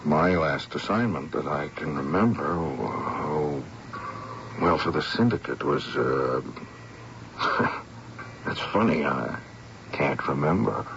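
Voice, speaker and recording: Noisy muffled radio recording, haunted broken man, hesitant, anxious, very animated pitch. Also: slow, pauses often, heavily acted. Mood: faltering, defeated. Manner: hesitant, faltering, agitated. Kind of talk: radio drama acting.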